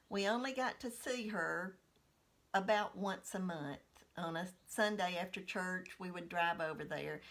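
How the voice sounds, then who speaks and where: A woman in her sixties telling a personal childhood story in an unhurried, reminiscing manner. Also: fairly low pitch, fairly bright tone. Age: 60s.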